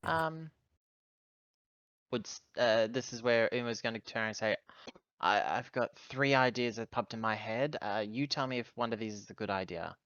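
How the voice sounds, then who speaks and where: A man's voice: mid-range voice, moderate pace, fairly bright tone, clean tone, dry recording, highs cut off. A man chatting casually as a player in a tabletop roleplaying game, deliberating as he goes.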